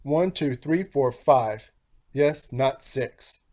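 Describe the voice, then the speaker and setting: A man's voice: medium pitch, very slow, darkish tone. A man voicing a fretful, protective mother hen in a storybook, with dramatic, emphatic rhyming warnings. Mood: alarmed.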